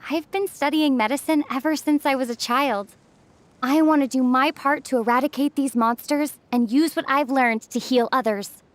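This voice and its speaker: Woman playing a high-voiced, earnest young healer. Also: moderate pace, close to an everyday voice. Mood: sincere, determined. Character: earnest young female healer and medical student. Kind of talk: anime game voice acting.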